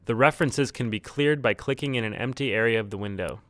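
A man reading a scripted instructional tutorial in a measured, neutral, step-by-step way. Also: mid-range voice, fairly bright tone, no echo, studio quality. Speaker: tutorial narrator. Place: close, dry studio-style voice-over recording.

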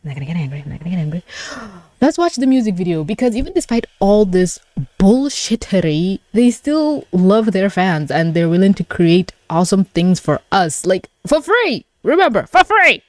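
Expressive young woman streamer, close dry sound. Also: medium pitch, normal speed, no echo. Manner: expressive, exclaiming, swearing. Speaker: streamer reacting live. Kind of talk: live reaction commentary, casual chat.

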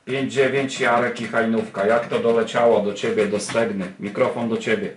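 A man's voice: medium pitch, level tone, moderate pace. A man informally demonstrating an amateur radio, muttering mild swear words and calling out over the air. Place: indoor room at a desk beside a transceiver. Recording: roomy, noisy with radio static. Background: radio receiver noise and chatter.

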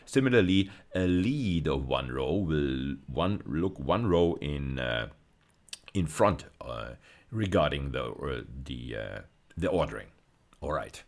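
A middle-aged man giving an explanatory video lecture as a university lecturer, measured and emphatic, often checking in with short tag questions. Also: very deep voice, animated, vocal fry, darkish tone. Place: indoors, recorded lecture over slides.

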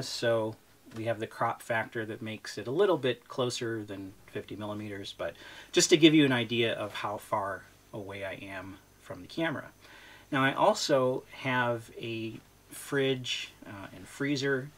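A man in his forties hosting a casual product review, speaking in a relaxed, rambling, self-deprecating way from a camera-mounted shotgun mic about eight feet away in an indoor room. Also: medium pitch. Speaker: host reviewing a microphone. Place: indoor room with storage shelves, fridge hum.

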